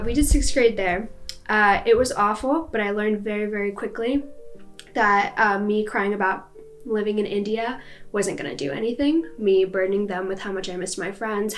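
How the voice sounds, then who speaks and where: Young american woman, personal story, chatty with lots of fillers, medium pitch, faint music behind. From United States. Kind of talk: personal story, casual talk.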